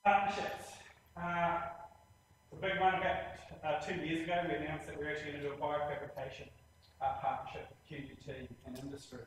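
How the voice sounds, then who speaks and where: A man with a New Zealand accent giving a presentation on hospital plans, speaking plainly with many pauses and a habit of saying "actually". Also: fairly high pitch, little pitch movement, a bit bright, distant mic, slight background noise. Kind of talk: presentation in a lecture series. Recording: very echoey room, voice from afar, faint ambience.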